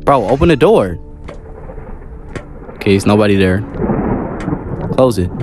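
A man's voice: lowish voice, expressive, unhurried, darkish tone, faint music behind. A young man giving casual, joking, exclamatory commentary on a horror game in slangy speech. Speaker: gamer making videos.

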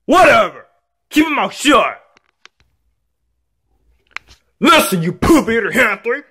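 Man doing a shrill, bratty shouting kid. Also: very animated, moderate pace, husky, full character voice. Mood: sulky, defiant. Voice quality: high-pitched, loud. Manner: whiny, shouting, petulant name-calling. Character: bratty, defiant young son throwing angry tantrums.

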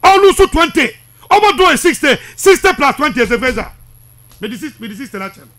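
A man's voice: very high-pitched, big pitch swings, fast, bright, forceful tone. A man in his thirties with a Ghanaian accent giving heated sports commentary, emphatic, insistent and repetitive, mixing languages at a studio microphone. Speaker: sports radio presenter. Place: small radio studio. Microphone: studio microphone close up.

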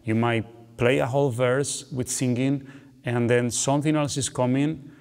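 A man's voice: medium pitch, normal speed. Man teaching guitar, chatty. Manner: relaxed, chatty, frequent "okay" and "you know" tags. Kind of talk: instructional explanation between playing. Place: dark studio, seated with guitar on lap. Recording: long reverb, guitar playing between phrases.

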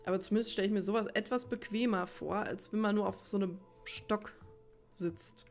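A woman's voice: medium pitch, fairly even intonation, brisk, creaky. A woman giving casual let's play commentary, rambling and thinking aloud as she plays. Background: faint game music.

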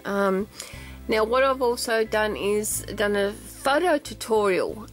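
A woman chatting casually on camera as she shows her craft album, with lots of ums and "okay?" checks. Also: mid-range voice. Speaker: craft vlogger. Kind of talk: casual show-and-tell craft video. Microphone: camera mic at a tabletop, hands only in shot.

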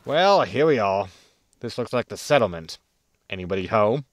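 Casting: male actor as grumbling, sarcastic starship captain, lowish voice, dry close sound, sci-fi comedy. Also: expressive, normal speed, no room sound, lightly acted. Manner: sardonic, grumbling. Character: gruff, sarcastic male spaceship captain and military pilot. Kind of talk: sci-fi comedy audio drama acting.

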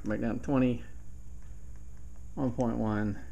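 A man's voice: medium pitch, steady tone, takes it slowly, creaky, dark tone, highs cut off. A man giving an informal hands-on tutorial as a radio hobbyist, recorded indoors at a home bench. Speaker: hobbyist demonstrating CB radio gear. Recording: noticeable background hiss and radio static.

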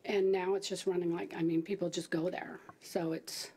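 A woman's voice: lowish voice, little pitch movement, normal speed, warm tone, breathy edge. A middle-aged woman at a podium giving a staff briefing to a city council, talking conversationally with fillers and restarts. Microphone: podium microphone.

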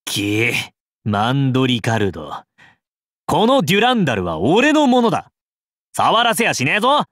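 A boisterous, goofy young knight from a video game. He is comically earnest and exclamatory, swinging widely in pitch on a dry recording. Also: huge pitch swings, normal speed, fairly bright tone, dry recording. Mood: possessive, defiant. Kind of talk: scripted game character dialogue.

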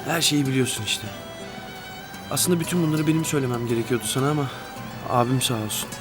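A man's voice: mid-range voice, fast talker, natural voice. An actor playing a young rich man who pleads desperately and pities himself, in a TV drama with music beneath. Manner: pleading, emotional outbursts. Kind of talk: TV drama acting.

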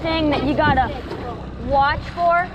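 A man's voice: extremely high pitch, steady tone, slow, lo-fi sound. A teenage boy filming himself fishing from a small boat, chatting casually to his viewers and explaining his gear. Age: teens. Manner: chatty, keeps addressing viewers as guys. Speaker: fishing vlogger. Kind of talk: casual on-camera fishing vlog. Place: small open boat on foggy open water. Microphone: action camera on the boat. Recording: loud engine and water noise outdoors. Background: loud boat engine and water.

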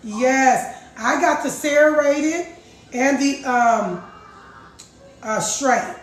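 A middle-aged woman with a raspy voice chatting casually to her viewers about juicing, recorded in her home kitchen on a phone. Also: high-pitched, drawn-out words, faint music behind. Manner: chatty, repeats herself, addresses viewers as guys. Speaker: juice vlogger. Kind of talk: casual live chat. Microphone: phone camera across the counter. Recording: roomy kitchen echo.

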